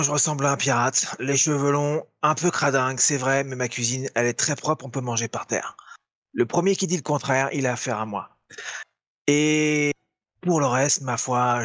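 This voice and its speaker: A man at a tabletop game describes his character with gruff humor. His voice is mid-pitched and recorded close and dry. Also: a bit bright, no echo. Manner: gruff, joking. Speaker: player.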